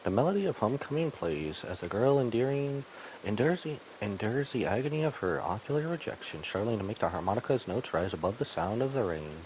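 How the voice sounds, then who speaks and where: A man's voice: on the low side, big pitch swings, a little fast, a little mellow, slight background noise, poor audio. A man streaming a game, reading story text aloud and commenting, sometimes stumbling and correcting himself. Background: game music.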